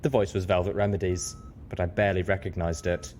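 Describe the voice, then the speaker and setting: A man's voice: low voice, little pitch movement, fairly quick, a little mellow, a little hiss or hum. A man reading scripted first-person fiction as the narrator of an audio story.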